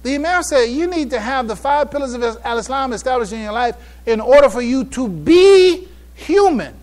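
A man giving a religious public address, halting and repeating phrases for emphasis. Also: very high-pitched, expressive, a little mellow. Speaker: speaker addressing a mosque congregation.

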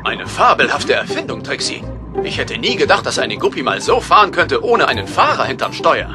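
An actor voicing a brave animal captain in a children's cartoon audio drama, decisive and authoritative. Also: male voice, medium pitch, big pitch swings, a little fast, music in the background, lightly acted. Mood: approving.

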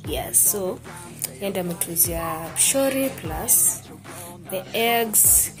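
Brief: young Kenyan woman, home vlogger, mid pitch, talkative and light, phone mic, music underneath. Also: expressive, slow. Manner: chatty, laughs often, switches languages. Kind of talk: casual vlog chat mixing Swahili and English. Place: small apartment room indoors. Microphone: phone camera at arm's length.

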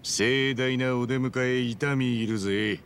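A man voicing a brash, hot-blooded, good-humoured hero in a video game, talking in rough, punchy street slang. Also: mid-range voice, steady tone, takes it slowly, fairly bright tone, slight background noise. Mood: measured, wry. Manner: rough, informal, punchy street-tough phrasing. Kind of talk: dramatic video game voice acting.

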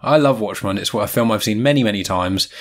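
A man's voice: fairly low pitch, fast talker. A British man in his twenties hosting a casual film review podcast, rambling and correcting himself as he goes. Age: 20s. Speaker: podcast host.

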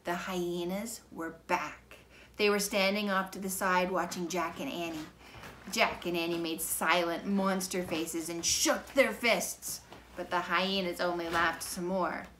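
A middle-aged woman reads a children's story aloud in a fairly low voice. Her telling is measured and dramatic, recorded in a living room with clear background noise. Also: steady tone, a bit bright. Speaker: storybook reader. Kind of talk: expressive children's book narration. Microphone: camera a few feet away.

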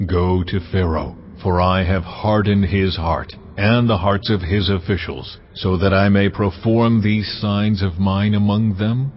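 God, deep and commanding. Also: male voice, fairly even intonation, dull audio, slight background noise. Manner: solemn, slow, commanding. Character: God speaking, deep commanding male deity.

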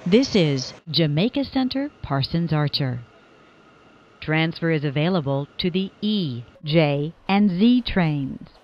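Slow-paced woman reading train announcements, lo-fi. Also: sits low, lively intonation. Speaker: transit announcer. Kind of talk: scripted train station announcements. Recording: dull lo-fi announcement recording.

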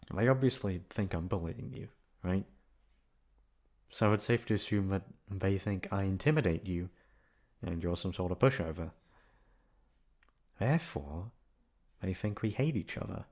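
Low-voiced, cheeky young guy played by a man. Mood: mischievous, fond. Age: young adult. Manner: casual, hesitant, teasing, profane. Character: cheeky delinquent college-age guy, soft-hearted toward his best friend. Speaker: voice actor. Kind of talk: ASMR boyfriend roleplay.

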